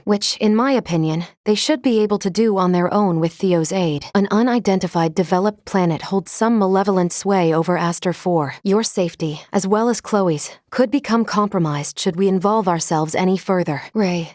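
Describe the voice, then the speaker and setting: A woman playing a logical, polite, protective android first mate in a video game, speaking with formal, precise, measured diction. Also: mid-range voice, a little mellow, no echo, clean studio sound, natural voice. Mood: analytical, measured. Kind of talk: scripted video game character lines.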